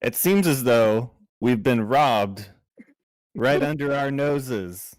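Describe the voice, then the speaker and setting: A man's voice: medium pitch, takes it slowly, no room sound. A man chatting casually as a player at a tabletop game, with a playful, wry manner.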